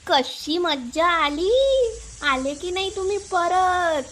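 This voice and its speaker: Woman as a high-pitched mischievous shepherd boy. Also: slow pace, slight background noise, heavily acted. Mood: teasing. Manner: shouting alarms, teasing villagers. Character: mischievous young shepherd boy, bored and playful.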